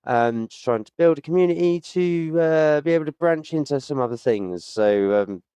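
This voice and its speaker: A man's voice: fairly high pitch, animated, normal speed, warm tone, clean tone, no room sound. A British man in his late thirties, a streamer chatting casually to his viewers in a rambling way, with many fillers and stock phrases. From United Kingdom. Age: 30s. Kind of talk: casual sign-off chat to viewers.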